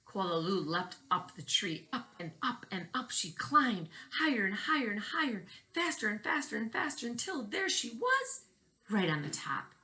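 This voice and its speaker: A woman's voice: on the high side, very animated, normal speed, pushed and bright. A woman teacher reading a picture book aloud expressively, stressing key words and putting questions to young listeners. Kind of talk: storybook read-aloud with questions to children.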